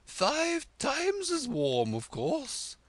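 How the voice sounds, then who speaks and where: High, loud, domineering storybook queen; slow, overbearing delivery. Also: wildly swooping pitch, no room sound, exaggerated voice. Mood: imperious. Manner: commanding, drawn-out emphatic words. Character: imperious proud queen, bossy and loud.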